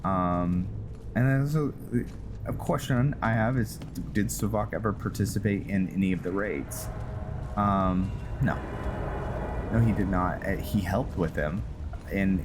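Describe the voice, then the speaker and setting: A man's voice: on the low side, background noise. A man casually commenting on his roleplay gameplay, musing aloud and rambling. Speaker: gaming channel host.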